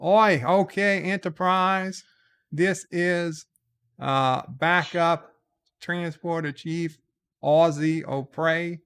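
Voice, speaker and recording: A breezy, folksy Australian transporter chief, played by a man in a comic sci-fi tale. The put-on Aussie voice is loud and matter-of-fact, pitched well up. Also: extremely slow, clean tone, full character voice.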